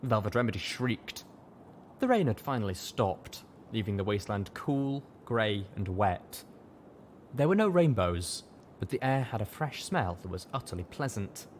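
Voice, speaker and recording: Low-voiced man narrating a story. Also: lively intonation, normal speed, slight background noise. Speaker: audiobook reader. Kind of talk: first-person fiction narration.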